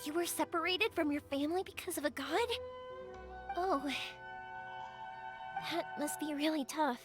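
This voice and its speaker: A woman's voice: high-pitched, a little slow. A woman playing a caring, talkative young woman in a video game, speaking in an earnest, reassuring way. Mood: gentle, earnest. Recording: game music under the voice.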